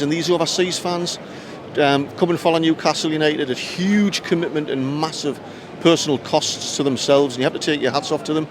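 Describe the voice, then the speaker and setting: A man hosting a video, chatting with football fans outdoors on match day. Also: a little high. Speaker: host interviewing fans. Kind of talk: outdoor vlog presenting. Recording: street noise behind.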